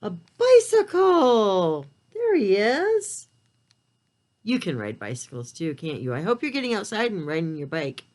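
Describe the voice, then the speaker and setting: A woman reading a picture book aloud to young children, expressive and talking to them in simple, child-directed language. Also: medium pitch, a little slow. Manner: expressive storytelling delivery. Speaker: preschool read-aloud storyteller.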